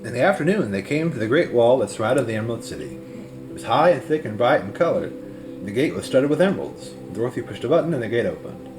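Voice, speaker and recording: A man narrates a storybook with a lively, swooping delivery. He reads aloud expressively, and music plays softly behind him. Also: medium pitch, quick pace.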